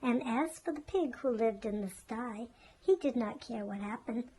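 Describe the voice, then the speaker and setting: Lady with a highish voice reading a kids storybook out loud. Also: moderate pace, slight background noise, poor audio. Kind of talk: children's storybook narration.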